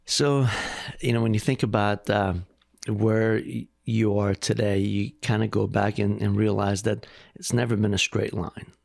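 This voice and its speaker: Low gravelly middle-aged man, earnest. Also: flat delivery, a little slow, a little mellow, dry recording, studio quality. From Iran. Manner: earnest, reflective, speaks with conviction. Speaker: hospital president, guest. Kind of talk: interview, personal storytelling.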